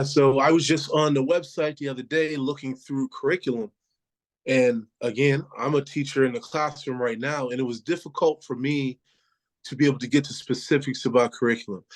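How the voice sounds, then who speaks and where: A man's voice: medium pitch, steady tone, brisk. A man from Pittsburgh with a congested voice from a cold, a school board candidate answering interview questions earnestly, with many fillers. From Pittsburgh, Pennsylvania.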